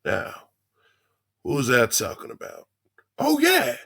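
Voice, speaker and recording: A man's voice: medium pitch, huge pitch swings, slow, vocal fry, sharp tone, full character voice. A man playing a folksy older animatronic beaver, a cheerily macabre father putting on a hammy stage show in an audio drama. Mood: jolly, brisk.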